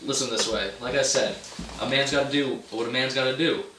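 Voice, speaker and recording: Excitable, blustering male sidekick, played by an amateur man, mid-pitched, noisy echoey stage recording. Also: fairly quick, recorded from a distance, natural voice. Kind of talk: amateur one-act stage play.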